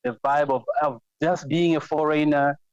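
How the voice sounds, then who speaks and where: A man's voice: fairly high pitch, fairly even intonation, moderate pace, clean tone. A man from Congo with a Congolese accent, calling in to a talk show and speaking hesitantly with many fillers and restarts. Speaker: caller. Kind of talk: phone-in call. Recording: dull lo-fi phone-in audio.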